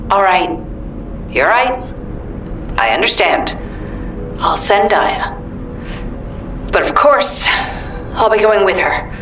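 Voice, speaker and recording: A slow-spoken protective mother. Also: medium pitch, big pitch swings, over music, rough recording. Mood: reluctant. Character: protective worried mother and commander. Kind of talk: video game cutscene acting.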